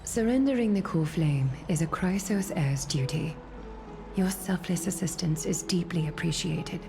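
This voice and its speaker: A woman playing a solemn, regal leader, speaking in a measured, formal, composed way in scripted fantasy game dialogue. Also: on the low side, gravelly, music in the background. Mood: grave.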